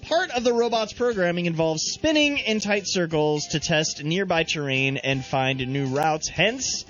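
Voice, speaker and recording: Female radio co-host, lower voice with lively rise and fall, reading news items, slightly lo-fi. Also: unhurried, soft background music. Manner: laughing, reads out news items. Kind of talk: casual radio chat. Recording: slightly dull radio recording.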